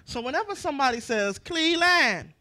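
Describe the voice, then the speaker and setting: A woman hosting a podcast with lively, slangy, playful banter, often repeating phrases for emphasis. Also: high voice, a little fast. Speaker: podcast host.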